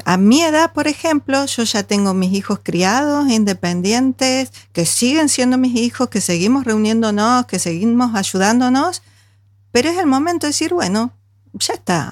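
A woman's voice: on the high side, no room sound, studio quality. A woman, talking casually as an interview guest. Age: 60s. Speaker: guest, small food business owner.

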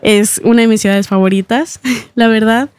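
A young woman from Ecuador, a singer guesting on a radio interview, who laughs often and fills pauses with "eh" and "am". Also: on the high side, fairly even intonation, darkish tone, smooth, dry recording. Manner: laughs often, speaks with fillers like "eh" and "am". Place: radio studio.

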